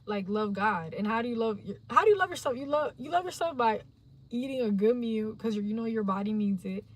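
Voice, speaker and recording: A woman's voice: a little high, brisk. Chatty young woman rambling on a livestream. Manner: rambling, animated, slangy, talks to her viewers. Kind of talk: casual livestream monologue.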